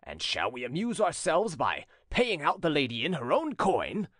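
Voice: medium pitch, huge pitch swings, moderate pace, a bit bright, no echo, studio quality, pitched above the performer's own voice. A narrator playing a jovial, mocking, worldly treasurer with a bantering, exclamatory manner in a storybook. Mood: wry.

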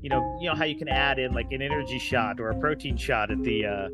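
A man speaking as a podcast guest in casual, playful, improvised chat, often halting as he searches for words. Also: medium pitch, fairly even intonation, loud music behind the voice.

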